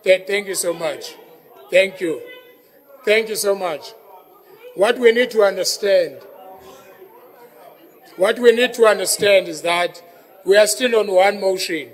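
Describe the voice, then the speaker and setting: A man's voice: high voice, measured pace, long pauses, in a large hall, some chatter behind. A South African man chairing a council meeting, repeating himself as he calls councillors to order. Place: council chamber.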